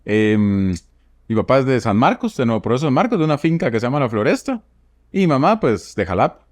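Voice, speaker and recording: Guatemalan man, surgeon guest; reflective, hesitant delivery, animated pitch, dry close-miked sound. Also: no echo. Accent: Guatemalan Spanish. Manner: reflective, measured, with frequent fillers. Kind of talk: podcast interview.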